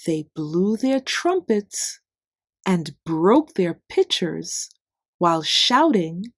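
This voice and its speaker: A woman narrates a children's story at a very slow, deliberate pace. Her voice sits slightly low and moves in lively swings of pitch, giving key words clear emphasis. The sound is close and dry. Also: no room sound. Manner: deliberate, simple phrasing with expressive emphasis for children. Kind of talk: scripted read-aloud bedtime story narration.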